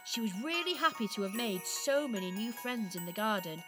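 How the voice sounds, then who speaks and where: A woman's voice: a little high, expressive, fairly quick, music in the background, natural voice. A woman narrator reading a scripted children's story for a radio play.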